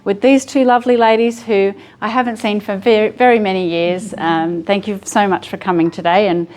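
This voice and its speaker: A middle-aged Australian woman, an author, speaking to an audience in a library room in a relaxed, chatty way with frequent ums and restarts. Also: medium pitch, a little fast, slight background noise. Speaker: author giving a library talk. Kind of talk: relaxed public talk to an audience.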